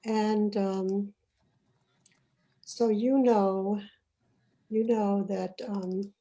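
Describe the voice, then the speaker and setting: A woman's voice: mid-range voice, fairly even intonation, extremely slow, mellow. A middle-aged woman from Uganda hosting an online panel, chatty and often trailing off mid-sentence. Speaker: panel host. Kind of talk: casual online panel talk. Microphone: video call.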